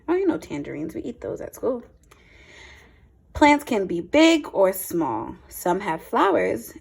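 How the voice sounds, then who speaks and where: Lively, slightly husky woman reading to children. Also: medium pitch. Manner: simple explanatory asides to young listeners; expressive, deliberate phrasing for children. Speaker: teacher reading aloud to young children. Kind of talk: children's picture book read-aloud with teaching asides.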